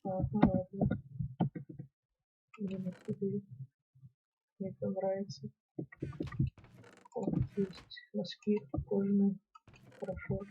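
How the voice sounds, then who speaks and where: Young male gamer, very husky and slow-spoken, muttering commentary on a dull lo-fi mic. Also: high voice. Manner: mutters to himself while playing, then talks excitedly to viewers. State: slightly ill, clears throat. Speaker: gaming channel host. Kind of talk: casual gameplay commentary.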